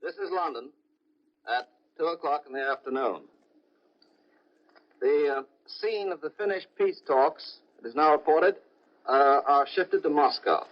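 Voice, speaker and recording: A man with a slightly high voice delivers a formal, measured 1940s news report. He speaks as an overseas correspondent, pausing now and then to find his words. The sound is muffled, low-fidelity old broadcast audio. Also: expressive. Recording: dull, lo-fi 1940 shortwave radio broadcast.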